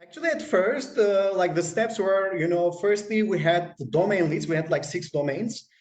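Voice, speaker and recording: A man's voice: sits high, expressive, normal speed, reverberant, recorded from a distance. A man speaking as a community manager in a live-stream conversation, with many fillers and restarts. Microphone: video call.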